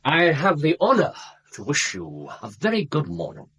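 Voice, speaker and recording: An actor playing a cool, formal Victorian detective in a radio drama, dryly courteous with subtle disdain. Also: male voice, a little high, huge pitch swings, normal speed, fairly bright tone, breathy edge, full character voice. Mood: icy, disdainful. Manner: clipped, coolly courteous, dry.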